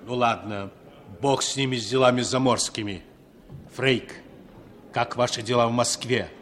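An actor playing a suave, cautious male spy contact, measured and composed, in a 1960s radio play. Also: mid-range voice, steady tone, a bit bright, slight background noise, natural voice. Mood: businesslike. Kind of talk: 1960s radio drama acting.

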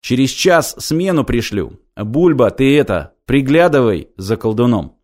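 A man's voice: medium pitch, animated, a little slow, darkish tone, natural voice. A man voicing a stern middle-aged station chief who speaks curtly and gives orders. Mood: ordering. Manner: curt, commanding.

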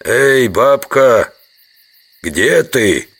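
Casting: curt old village man for radio play, raspy and creaky, dragging his words. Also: mid-range voice, lively intonation, drawn-out words, a bit bright, exaggerated voice. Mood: calling out. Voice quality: gravelly, husky. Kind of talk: audio drama acting.

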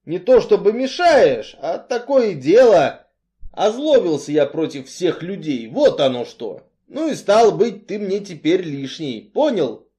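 A man voicing a brooding, weary strongman who speaks bluntly and emphatically in halting, colloquial phrases. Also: sits high, very animated, dark tone, pitched above the performer's own voice. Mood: embittered.

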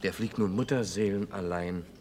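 An actor playing a nonchalant, slightly shifty bar patron in a vintage German radio crime play, talking casually. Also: male voice, low voice, moderate pace, a little mellow, slight background noise, natural voice. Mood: casual, musing.

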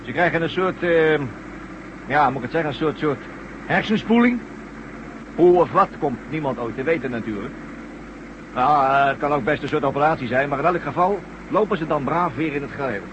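A man's voice: a little high, a little fast, close to an everyday voice. A man playing a chummy, reassuring colleague in a radio drama, breezy and colloquial. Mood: confiding. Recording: muffled, noisy old radio recording.

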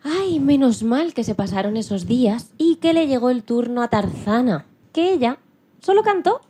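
A woman from narrating a dramatised children's story in an expressive, playful way. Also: a little high, normal speed, slight background noise. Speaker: storyteller, programme presenter.

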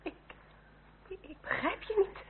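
An actress playing a gentle, bewildered young noblewoman in an old radio play, soft and hesitant. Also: unhurried, natural voice. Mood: bewildered, tender. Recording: old radio recording, phone-like, faint noise.

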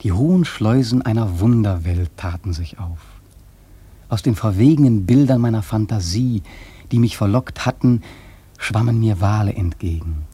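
A wry, brooding seafarer telling his tale in a literary radio play. The voice is husky and mellow, recorded close and dry. He speaks reflectively, placing his emphasis with dramatic care. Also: on the low side, expressive, moderate pace, dry recording. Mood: awed fascination. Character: brooding, wry sailor narrator drawn to the sea.

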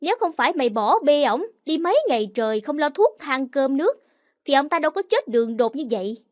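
A woman voices a greedy older neighbor woman from an audio story who scolds in a shrill, high voice. She is quick to hurl insults and demands. The sound is close and dry, with no room around it. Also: very animated, darkish tone, dry recording. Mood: accusing.

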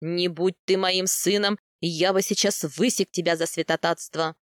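A woman's voice: on the low side, steady tone, moderate pace, no echo, studio quality, pitched below the performer's own voice, lightly acted. A woman voicing a stern older khan, a grave and formal father who speaks with authority in a fantasy audiobook. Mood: stern, solemn. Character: stern older male khan, commanding, wryly indulgent father.

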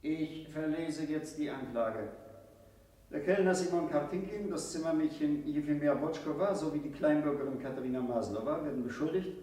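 An actor playing a stern presiding judge in a 1960s German radio play, formal, clipped and official. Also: male voice, a little high, moderate pace, dark tone, close to an everyday voice. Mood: formal reading. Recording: echoey courtroom scene, distant from mic.

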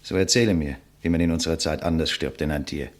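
An actor playing a proud, scornful condemned intellectual in a 1950s German radio play, declamatory and contemptuous. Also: male voice, very deep voice, fast. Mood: bitter, grave. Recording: vintage 1959 radio recording.

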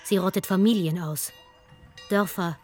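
A woman reading scripted dramatic storytelling narration in a measured way with emphatic pauses. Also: lowish voice, fairly even intonation, darkish tone. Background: music.

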